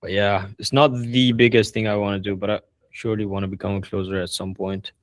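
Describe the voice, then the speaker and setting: A man hosting a casual group video call, relaxed and hesitant, often restarting his sentences. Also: on the low side, fairly quick, no room sound. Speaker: call host answering members' questions.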